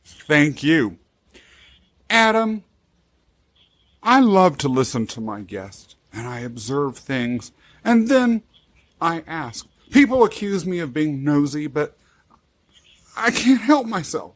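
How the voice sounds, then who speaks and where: Upbeat higher-voiced male podcast host. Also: sing-song, takes it slowly, pauses often. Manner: enthusiastic, playful questioning. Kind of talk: podcast interview.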